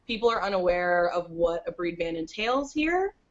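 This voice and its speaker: A woman chats as a podcast guest, her words tumbling out quickly and sprinkled with "like." Her voice sits fairly low, and the call audio sounds muffled and lo-fi. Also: animated. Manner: quick, chatty, peppered with "like". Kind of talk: casual interview chat.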